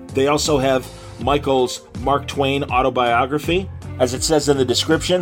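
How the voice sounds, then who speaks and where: An American man from Illinois hosting an interview podcast in a chatty, informal, conversational way. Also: mid-range voice. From Illinois, USA. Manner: chatty, informal, says "man" and "you know". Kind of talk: casual conversational hosting with ad reads.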